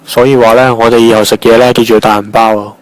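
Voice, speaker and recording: A man's voice: mid-range voice, flat delivery, quick pace, natural voice, low-quality recording. A man playing a wisecracking young joke-teller who rambles along in an amateur radio drama, with a Hong Kong Cantonese accent. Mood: jokey storytelling. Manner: rambling, jokey storytelling. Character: wisecracking young man, long-winded teller of absurd jokes.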